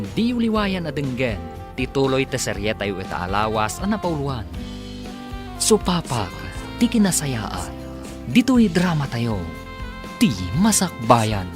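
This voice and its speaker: A man's voice: mid-range voice, big pitch swings, unhurried. A man with a Filipino accent reading dramatic scripted narration as the announcer of a radio drama. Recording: music bed under radio narration.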